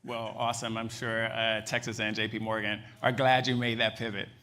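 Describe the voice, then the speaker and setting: Bright-voiced male event moderator. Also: on the low side, flat delivery, brisk. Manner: brief affirming backchannels, reads questions from notes. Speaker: reporter and interview host. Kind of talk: moderating a live panel interview. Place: event stage.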